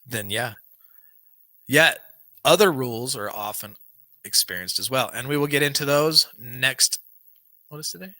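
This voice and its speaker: A man's voice: mid-range voice, unhurried, pauses often, bright, forceful tone, dry recording. A man hosting a podcast, reading aloud and chatting earnestly, his voice worn and dry. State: losing his voice, dry throat. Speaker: podcast host. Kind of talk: reading a book aloud, then casual chat. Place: small home studio with banner backdrop. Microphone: large studio mic on boom arm.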